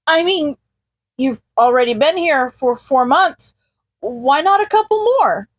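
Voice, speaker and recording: A woman voicing an excitable, foul-mouthed young man who talks emphatically, in a fan fiction audio reading. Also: high voice, a little slow, no room sound. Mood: nervous, hopeful. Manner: indignant, emphatic, cursing, nervous stammering.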